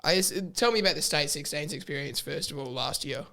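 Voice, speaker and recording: A man's voice: on the high side, expressive, a little fast, vocal fry, pushed and bright. A man hosting a podcast interview, easygoing and joking, asking questions and throwing in short agreeing replies. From Australia. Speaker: podcast host. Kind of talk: casual interview.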